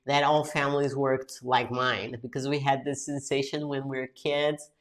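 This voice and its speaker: A woman's voice: a bass voice, little pitch movement, moderate pace. A woman in her forties with a Brazilian Portuguese accent, hosting her own podcast in a chatty way, switching between Portuguese and English. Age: 40s. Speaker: podcast host and English teacher. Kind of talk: casual solo podcast talk.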